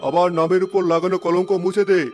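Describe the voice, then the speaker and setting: A man's voice: high-pitched, flat delivery, fairly quick, darkish tone, faint music behind, natural voice. A man voicing the vengeful ghost of a murdered man in a horror cartoon, menacing and commanding.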